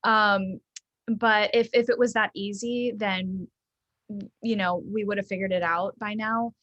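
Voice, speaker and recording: A young adult woman from the American South, a therapist talking casually and thoughtfully in an interview, with frequent ums and soft laughs. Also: mid-range voice, fairly even intonation, a little slow, no room sound, lo-fi sound. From Southern United States.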